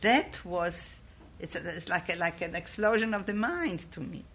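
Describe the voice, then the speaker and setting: Older woman, slightly scratchy, reflective and warm in memory. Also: lowish voice, moderate pace. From Poland. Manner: reflective, animated when recalling youth. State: scratchy voice from a cold. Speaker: interviewee. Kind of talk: oral history recollection.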